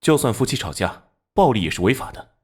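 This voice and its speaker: An actor playing a no-nonsense male police captain in an animated crime drama, speaking in a firm, matter-of-fact manner. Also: mid-range voice, fast talker, slightly rough.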